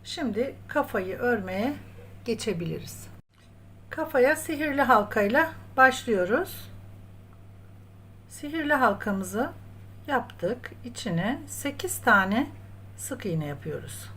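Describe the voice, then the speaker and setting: A woman's voice: on the high side, big pitch swings, slow pace, pauses often, a bit bright. A woman hosting a step-by-step craft tutorial, talking as she works and addressing viewers as friends. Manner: counts stitches aloud, addresses viewers as friends. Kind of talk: step-by-step crochet tutorial narration while working. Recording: clear background noise, room ambience.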